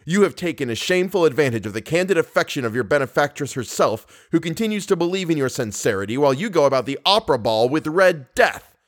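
A man playing a jealous, hot-headed young gentleman in an audiobook, declaiming with theatrical passion and bitter reproach. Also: medium pitch, sing-song, normal speed, close to an everyday voice. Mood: bitter, wounded. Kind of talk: audiobook character voice.

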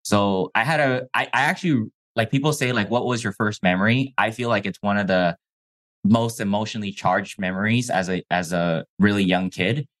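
A young man with a lowish voice, raised in Mexico and a Chinese speaker from birth, talks through his childhood as a podcast guest. He keeps it loose and casual, dropping "bro" and "like" as he goes. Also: normal speed, fairly bright tone. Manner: laid-back, says "bro" and "like" often. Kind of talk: casual interview storytelling.

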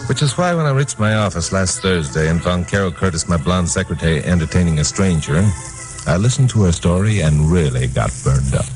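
An American actor playing a wry, hard-boiled crusading newscaster in a punchy 1950s radio crime drama. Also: male voice, sits low, animated, fairly quick, a little mellow, poor audio. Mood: hard-boiled indignation. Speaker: actor narrating and playing the lead. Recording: 1950s radio recording with music.

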